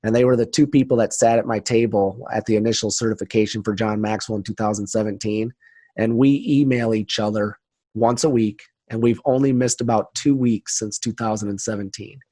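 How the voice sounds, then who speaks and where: A man's voice: on the low side, fairly even intonation, a little fast, warm tone, no echo. A man speaking earnestly and motivationally as a guest in a conversational interview. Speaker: podcast guest, leadership trainer.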